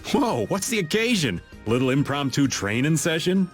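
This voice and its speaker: Middle-aged joker for game skit: easygoing braggart, theatrical, hugely varied pitch, over music. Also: male voice, big pitch swings, moderate pace. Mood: playful. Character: playful, boastful, easygoing middle-aged male rogue. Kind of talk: animated game skit acting.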